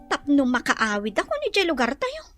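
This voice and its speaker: A woman's voice: high voice, huge pitch swings, normal speed, music in the background. A woman acting a troubled, scheming young wife, plaintive and brooding, in a radio drama. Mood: worried.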